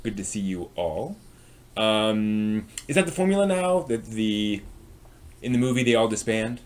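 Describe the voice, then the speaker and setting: A man's voice: lowish voice, very animated, a little slow. A man hosting a live stream, chatting casually and ramblingly as he responds to viewers. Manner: rambling, reacting to chat as it comes.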